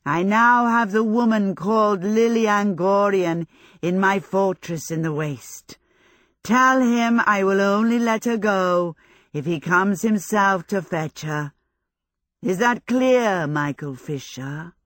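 A woman's voice: medium pitch, slow, no room sound, clean studio sound. A woman voicing a commanding witch whose voice sounds enlarged and muffled, as if speaking from inside a box. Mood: threatening. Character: menacing witch, gloating and commanding. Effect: muffled, as if spoken inside a box.

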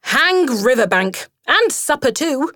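A woman's voice: high-pitched, very animated, sharp tone, pitched above the performer's own voice, full character voice. A woman doing a hearty, cheerful water rat. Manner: cheerful, brisk, coaxing. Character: hearty, kindly female water rat, brisk and resolute. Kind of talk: storybook character voice.

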